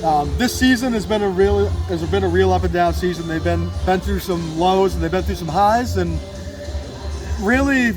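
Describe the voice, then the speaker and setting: Higher-pitched enthusiastic young man, music behind. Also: moderate pace, low-quality recording. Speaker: PA announcer and radio producer for a baseball team, interview guest. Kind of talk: casual sports interview. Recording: outdoor recording with music behind.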